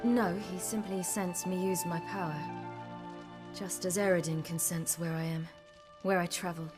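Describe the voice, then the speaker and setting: An actress playing a guarded young woman recounting her flight to a companion in a video game, measured and matter-of-fact, with music under her voice. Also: fairly low pitch, steady tone, natural voice. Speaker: game character. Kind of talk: recounting a story in dialogue. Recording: game audio over music.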